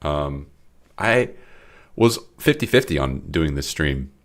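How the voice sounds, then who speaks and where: A young man with a very low voice, audibly congested and stuffy. He rambles through a late-night coding stream in casual, self-deprecating talk full of restarts. He speaks into a desk mic at home. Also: very animated, slow. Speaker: game developer streaming his work. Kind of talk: casual live-stream commentary.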